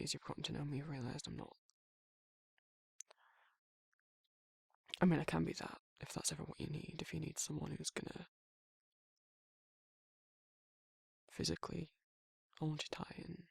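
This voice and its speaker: Gravelly, bashful British werewolf played by a man. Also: fairly high pitch, dry recording, full character voice. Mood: apologetic, protective. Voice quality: gravelly, husky, breathy. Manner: flustered, halting, stammering, trailing off. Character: protective, awkward, bashful male werewolf confessing affection. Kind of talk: intimate ASMR romantic roleplay.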